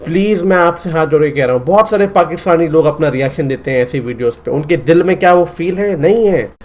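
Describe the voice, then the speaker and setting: A man's voice: on the high side, animated, fast talker, a little mellow. A man with a Delhi Hindi accent from India, hosting a casual reaction video in an earnest, sometimes pleading way.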